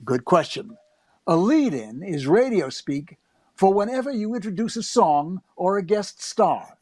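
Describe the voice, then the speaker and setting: Higher-pitched, wry young comedy writer. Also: male voice, very animated, unhurried, darkish tone, breathy edge, no room sound, studio quality, exaggerated voice. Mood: wry, upbeat. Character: eager, wryly self-mocking young comedy writer recalling his career. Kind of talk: first-person radio drama narration.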